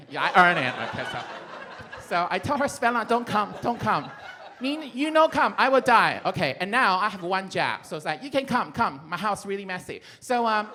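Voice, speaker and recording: A middle-aged man from Taiwan with a Taiwanese accent, performing a playful stand-up comedy set, breaking into shouts and laughter. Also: high voice.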